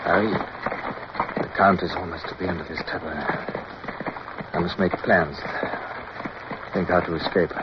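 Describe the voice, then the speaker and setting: Actor as a low-voiced, resolute naval officer. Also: male voice, fairly even intonation, normal speed, long pauses, close to an everyday voice. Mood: sombre, tender. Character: resolute British naval officer, commanding yet tender, recounting his war. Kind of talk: radio drama acting and first-person narration. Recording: muffled, noisy old radio recording.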